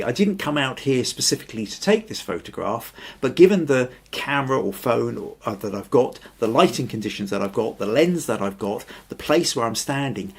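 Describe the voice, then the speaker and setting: An older man with a gravelly, slightly husky voice hosts a photography show from a small room at home. He talks in a loose, thoughtful way, drifting onto side points and reading out comments from viewers. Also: mid-range voice. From Scotland. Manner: thoughtful, rambling, reads viewer comments aloud. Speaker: photography podcast host. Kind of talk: casual live-stream chat.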